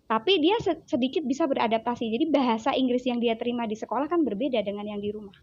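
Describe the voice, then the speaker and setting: A woman's voice: on the high side, fast, darkish tone, muffled recording, a little hiss or hum. A woman with a Javanese accent, a child psychologist chatting as an interview guest, telling stories animatedly and acting out her child's words. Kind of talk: casual interview chat.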